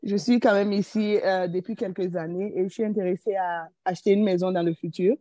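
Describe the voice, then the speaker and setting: A woman's voice: medium pitch, fairly even intonation, fairly quick, mellow, no echo. A woman from Burkina Faso, introducing herself politely and hesitantly on a video call. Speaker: workshop participant.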